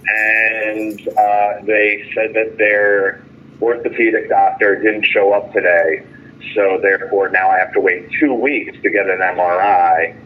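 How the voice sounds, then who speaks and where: Guy calling from jail, kinda low voice, thin phone sound w some noise, halting n fed up. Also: steady tone. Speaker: jailed caller. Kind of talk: casual phone update to a vigil. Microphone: telephone. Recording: tinny noisy phone line.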